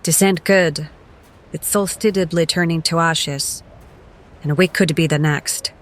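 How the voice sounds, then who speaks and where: A woman's voice: deep voice, fairly even intonation, unhurried. A woman acting an earnest, defensive hireling in an audio drama. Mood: grim.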